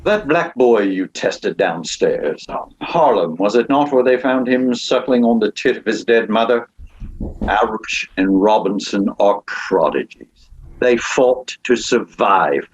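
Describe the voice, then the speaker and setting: An actor playing a cruel, cold aristocratic Duke at a script table read, menacing and measured. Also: male voice, lowish voice, expressive, breathy edge, highs cut off, full character voice.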